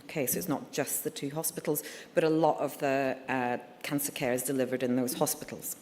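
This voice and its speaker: Low-voiced Scottish woman in a hall. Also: level tone, fairly quick, a little mellow, slight background noise. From Scotland. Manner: candid, friendly, with frequent ums and uhs. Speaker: liver surgeon giving a conference talk. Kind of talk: conference presentation. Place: conference hall. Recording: reverberant hall.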